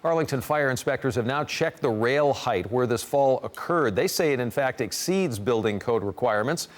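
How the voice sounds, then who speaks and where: An American man works as a television news anchor. His voice sits at a middle pitch as he delivers scripted stories in newscast style.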